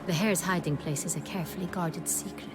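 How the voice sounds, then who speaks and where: An actress playing a commanding, regal young duchess in a video game, speaking formally and with authority. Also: female voice, on the low side, fairly even intonation, brisk, lightly acted. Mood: firm, commanding. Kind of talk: video game cutscene acting. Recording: music behind the voice.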